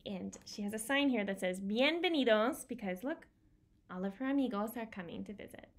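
A woman's voice: medium pitch, lively intonation, moderate pace. A woman in her thirties presenting a bilingual picture-book storytime for young children, expressively explaining and repeating key words. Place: small home room with bookshelves, indoors.

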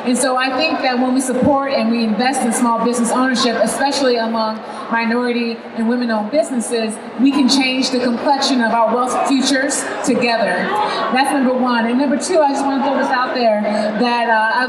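A woman's voice: a little high, fairly even intonation, a little fast, over a noisy crowd. A woman speaking earnestly as a panelist in a discussion. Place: large conference hall. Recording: distant in a reverberant hall with noise.

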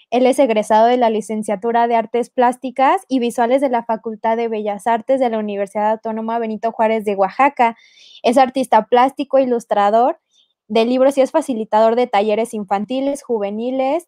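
A woman's voice: on the high side, dark tone, no room sound, studio quality. A young woman with a Mexican Spanish accent, a host introducing a guest formally over a video call. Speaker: radio host.

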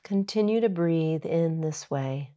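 A woman yoga teacher narrating a guided meditation in a soothing, measured, unhurried way. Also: low voice, dark tone.

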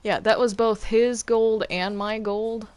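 A woman's voice: a little high, little pitch movement, slow pace, darkish tone, no echo. A woman chatting casually while playing a game, hesitant and stammering, often sounding apologetic. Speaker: gamer playing a multiplayer game.

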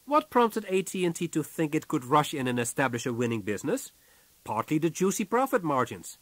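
A man reading a business audiobook from a script in a measured, businesslike way, as its author. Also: a little high, very animated, a bit bright, dry recording.

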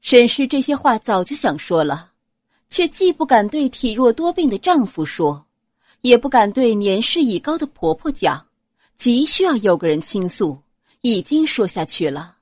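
A woman's voice: fairly high pitch, big pitch swings, moderate pace, no echo, studio quality. A woman reading a novel as a scripted audiobook narrator, telling the story expressively.

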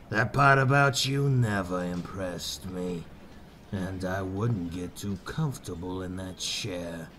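A man voicing a bitter, menacing older corrupt police boss in a comic fan dub, condescending and drawn-out. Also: low-pitched, fairly bright tone, slight background noise.

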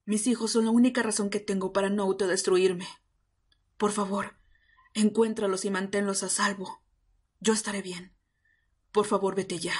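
A woman's voice: mid-range voice, fairly even intonation, normal speed, pauses often, breathy edge, natural voice. A woman voicing a commanding vampire matriarch in an audio drama, grave, imperious and fiercely protective. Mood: urgent command. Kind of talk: Spanish-language ASMR roleplay audio drama.

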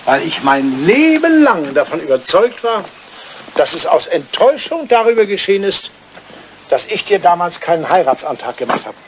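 Wistful old lord, highish voice. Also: male voice, big pitch swings, normal speed, slight background noise. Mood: pleading, bitter. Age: older. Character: wistful old English lord, lonely lovelorn bachelor writer. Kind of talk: German radio drama acting, drawing-room dialogue.

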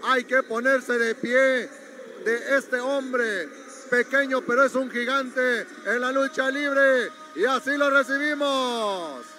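A man calls a live wrestling card from a gym arena, his voice pitched very high. He keeps pushing viewers to share and comment. Arena noise sits clearly under him. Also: slow, pushed and bright. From Mexico. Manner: promotional, urging viewers to share. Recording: noisy arena.

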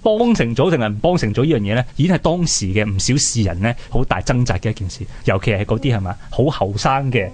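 Man, Hong Kong Cantonese accent, rapid speech, lively intonation, conversational history commentary. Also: medium pitch. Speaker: radio history programme co-presenter.

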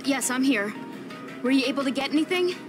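An earnest young woman with a high voice in a game cutscene. She is a stubborn idealist who speaks with conviction, and music runs clearly underneath. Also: expressive, brisk. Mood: determined, pleading. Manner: earnest, principled. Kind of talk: video game cutscene acting.

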